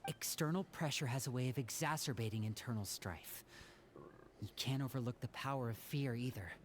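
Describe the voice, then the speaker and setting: An actor playing a calm young magician leading his siblings in a video game, speaking in a measured, reassuring way. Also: medium pitch, slight background noise, natural voice. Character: young male magician, calm older brother of an orphan family. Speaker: game character.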